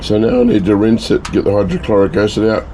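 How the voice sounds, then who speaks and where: A man with a hoarse, failing voice from a bad cough, casually talking viewers through his home refining work in a chatty, rambling way. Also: on the low side, very animated, fast, mellow, slight background noise. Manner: chatty, rambling, self-mocking asides. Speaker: hobbyist gold refiner and video maker. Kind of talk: casual talk-through of a lab process.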